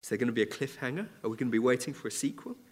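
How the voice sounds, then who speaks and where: A man's voice: medium pitch, lively intonation. Man preaching in an echoing church. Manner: measured, earnest preaching with occasional restarts. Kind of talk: sermon. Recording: church hall reverb.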